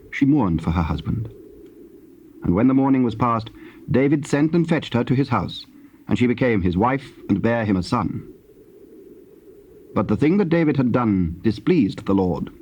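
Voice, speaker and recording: A man with a British accent narrating scripture in a solemn, measured storytelling style. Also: on the low side, expressive, a little mellow. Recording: dull, hissy old cassette tape.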